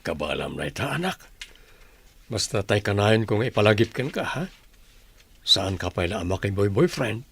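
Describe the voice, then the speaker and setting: Expressive caring Filipino father acted by a man. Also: on the low side, moderate pace, creaky, pauses often, husky, heavily acted. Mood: fatherly advice. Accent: Filipino, Ilocano. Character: caring, worrying, hardworking father of college student. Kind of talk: Ilocano radio drama acting. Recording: faint background noise.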